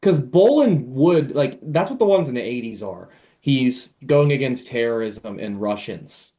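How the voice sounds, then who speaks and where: A man's voice: medium pitch, animated, a little slow, dark tone. A man chatting casually on a podcast like an enthusiastic fan, often repeating and restarting his phrases.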